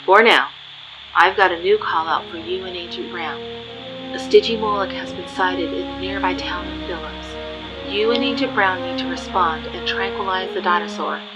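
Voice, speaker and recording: An actress plays a female supervisor on the radio in an audio drama. She is no-nonsense and procedural, her voice a little low. Loud music runs behind her orders. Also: normal speed, lightly acted, poor audio. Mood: brisk, commanding. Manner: crisp, procedural.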